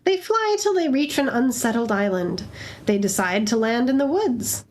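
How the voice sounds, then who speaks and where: A woman's voice: fairly high pitch, expressive, normal speed, a little mellow. A woman narrating a story live in a group reading, with casual asides.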